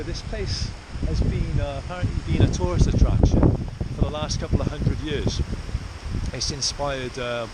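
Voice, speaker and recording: A man from South Wales, chatting casually and haltingly to camera as he explores outdoors. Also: a little high, creaky. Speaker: vlogger, artist explorer. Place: outdoors in rainy, windy hills. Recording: loud wind and outdoor noise.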